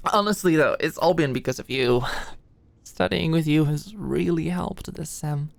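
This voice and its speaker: A woman's voice: deep voice, a little slow, creaky, darkish tone, no room sound. A woman playing an anxious, stressed-out young medical student in an intimate roleplay monologue, rambling and stammering as she goes. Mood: shy, grateful. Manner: rambling, stammering, hesitant, swearing.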